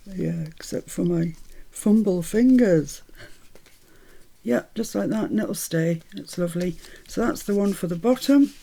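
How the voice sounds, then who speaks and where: Chatty British woman with a lowish voice. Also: animated, slow pace, vocal fry, pauses often, warm tone. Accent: mixed British, Scottish-born, Midlands. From Scotland. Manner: chatty, cheerful, laughs often, talks while working. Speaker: craft channel host. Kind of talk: chatty crafting tutorial.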